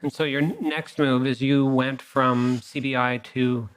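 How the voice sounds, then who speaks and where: A man's voice: mid-range voice, unhurried, clean tone. Male interview host, casual and encouraging. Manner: relaxed and encouraging, with short affirmations.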